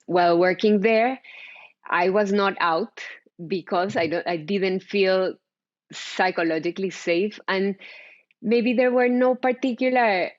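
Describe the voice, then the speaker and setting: A woman's voice: mid-range voice, fairly even intonation, unhurried. Woman telling her story, lotta restarts n "right?", dull lo-fi audio. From Colombia. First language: Spanish. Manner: searching, with many restarts and "right?". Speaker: panelist, DEI practitioner. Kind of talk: personal story in panel talk. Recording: dull lo-fi sound.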